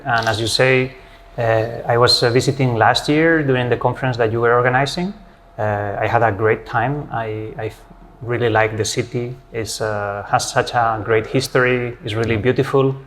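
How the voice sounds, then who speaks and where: Spanish-accented middle-aged professor, friendly explainer, mid pitch, pauses on "uh" often. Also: male voice, fairly bright tone, slight background noise. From Spain. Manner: friendly, explanatory, with frequent "uh" hesitations. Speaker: physics professor, interview guest. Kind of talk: academic interview conversation.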